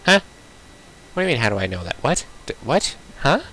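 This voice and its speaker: A man's voice: medium pitch, big pitch swings, fairly quick, bright, forceful tone. A man doing casual let's-play commentary as he plays a game and reads on-screen text aloud. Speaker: gamer playing a visual novel. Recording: game music behind the voice.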